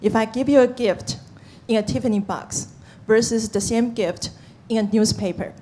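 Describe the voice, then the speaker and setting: Laughing teacherly woman with higher voice. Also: moderate pace, dark tone, slight background noise. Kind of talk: coaching comments on a panel.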